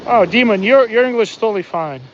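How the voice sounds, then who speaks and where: Man, high voice, chatty, talks to the fish, outdoors on a beach, phone mic, slightly muffled, faint wind. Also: expressive, fast. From Soviet Union. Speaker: fishing livestream host. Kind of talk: casual live commentary while fishing.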